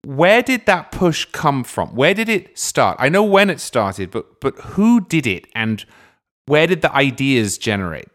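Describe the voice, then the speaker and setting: A man hosting a long-form political interview. He asks long, winding questions at an even, mid-pitched level, stretching some phrases slowly and rushing through others. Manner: long, discursive questions with measured pauses. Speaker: podcast host.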